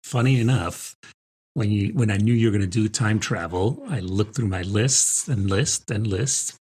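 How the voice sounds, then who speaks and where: A man's voice: lowish voice, normal speed, a little mellow, slightly rough. A man co-hosting a book podcast, talking in an informal, conversational way in a casual review chat. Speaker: podcast co-host discussing books.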